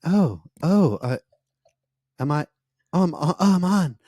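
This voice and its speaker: A man's voice: fairly high pitch, lively intonation, slow pace, warm tone, no room sound, clean studio sound. A man voicing Adrian, a flustered father from New York who stammers and restarts his sentences.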